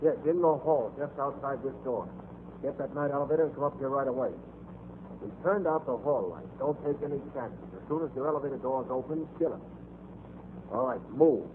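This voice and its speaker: A cornered crime boss played by a male actor gives ruthless orders with hushed urgency in a medium-pitched voice. The 1940s radio recording is thin, with faint noise. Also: brisk, phone-quality sound, close to an everyday voice. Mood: hushed, urgent.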